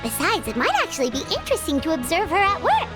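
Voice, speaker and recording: Tiny fairy sidekick, squeaky high, bouncy, excitable, outspoken. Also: female voice, quick pace, over loud music, full character voice. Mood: chirpy. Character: small chirpy fairy-like companion girl, excitable. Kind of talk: game voice acting.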